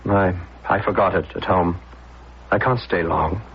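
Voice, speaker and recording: Low-voiced, tormented man. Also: measured pace, breathy edge, heavily acted. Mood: uneasy. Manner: brooding, confessional, hesitant when challenged. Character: tormented, guilt-ridden, weak-willed son and lover. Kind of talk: dramatic first-person narration, radio acting. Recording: dull lo-fi radio recording, loud music.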